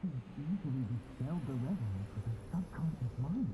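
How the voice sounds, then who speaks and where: A man playing a composed, polite and formal scheming gentleman in a video game. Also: medium pitch, very animated, a little fast, natural voice. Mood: calculating. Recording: noisy stream game audio.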